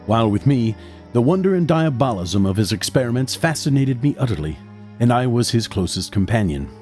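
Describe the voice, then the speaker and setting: An actor playing an educated young medical student and wary accomplice in an audio drama, speaking in a formal, measured way. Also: male voice, medium pitch, sing-song, a little mellow, faint music behind, lightly acted. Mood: grave recounting. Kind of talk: audio drama acting and first-person narration.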